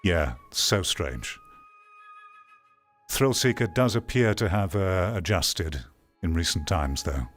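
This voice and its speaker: Deep-voiced man giving opinionated commentary. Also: animated, slow pace, a bit bright, faint music behind. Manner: opinionated, emphatic, repeats phrases for stress. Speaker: streamer hosting a VR gaming commentary show. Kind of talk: off-the-cuff critical commentary reacting to a video.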